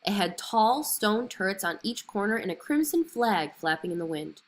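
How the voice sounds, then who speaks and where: Woman, children's storyteller, scripted narration, expressive reading, lively swinging pitch. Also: moderate pace.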